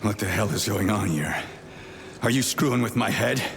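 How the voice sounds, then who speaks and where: A man playing an exasperated, gruff soldier hero in a video game, speaking bluntly and sarcastically. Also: lowish voice, normal speed, music in the background. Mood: angry. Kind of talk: video game character acting.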